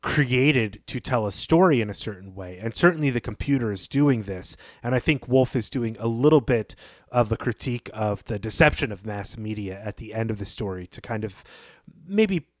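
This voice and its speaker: A man's voice: lowish voice, lively intonation, normal speed, no echo, clean studio sound. A man hosting a podcast, discussing literature thoughtfully, with some fillers as he works out his points. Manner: thoughtful, wanders with fillers, then leads the topic. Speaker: podcast host.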